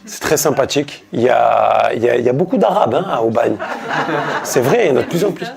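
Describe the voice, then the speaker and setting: A man's voice: mid-range voice, lively intonation, fast talker, creaky, darkish tone. A man doing comedic banter in a teasing, joking manner.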